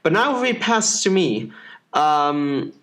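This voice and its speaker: Chatty young Mexican-born man, higher voice. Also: very animated, slow, fairly bright tone. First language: Spanish. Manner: rambling, filler-heavy, playful. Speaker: podcast co-host. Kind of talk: casual chat.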